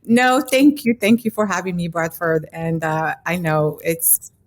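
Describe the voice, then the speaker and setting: A woman's voice: fairly low pitch, steady tone, unhurried. A middle-aged woman with a Moroccan accent, chatting as a podcast guest in a hesitant, reflective way. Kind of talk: casual interview chat.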